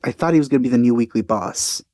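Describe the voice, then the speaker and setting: A man giving casual, low-key and wry commentary while playing a video game. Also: quick pace, mellow, no echo, studio quality. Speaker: let's play commentator.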